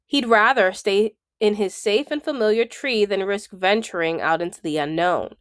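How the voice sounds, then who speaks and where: Woman, medium-pitched voice, children's book reader, storybook read-aloud, carefully enunciated, dry acoustics. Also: normal speed, no echo.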